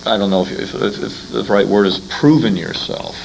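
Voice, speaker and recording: A man's voice: on the low side, moderate pace, gravelly. A man reminiscing casually in conversation, often repeating words as he searches for the right phrase. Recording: slightly noisy amateur 1980s convention recording.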